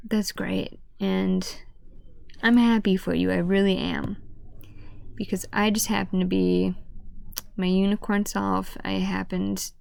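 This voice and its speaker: Hoarse woman vlogging, voice keeps going whispery, kinda halting, gravelly, dry room. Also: a little mellow, no echo. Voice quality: deep, breathy voice that often drops to a whisper. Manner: halting, with restarts and stutters. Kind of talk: casual talk to camera.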